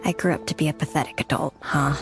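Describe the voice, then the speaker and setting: A woman's voice: low voice, fairly even intonation, fast. A young woman playing an overworked, self-doubting office worker in a game cutscene, hesitant and confiding. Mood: downcast. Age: young adult. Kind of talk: acted video game cutscene dialogue. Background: background music.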